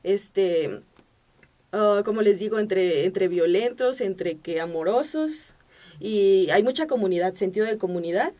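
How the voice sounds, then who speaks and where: A Mexican woman from central Mexico speaking as a podcast guest in a casual, animated conversation, acting out the remarks she quotes. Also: mid-range voice, unhurried.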